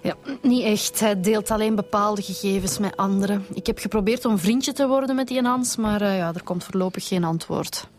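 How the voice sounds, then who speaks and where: An actress playing a cheeky, resourceful police detective with a Flemish accent in a radio drama, colloquial and teasing. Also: female voice, medium pitch, moderate pace, few pauses, a little mellow, lightly acted. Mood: explaining.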